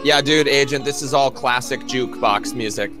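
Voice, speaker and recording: A man's voice: mid-range voice, moderate pace. Casual male streamer with music behind him. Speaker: livestream host. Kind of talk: casual livestream chat.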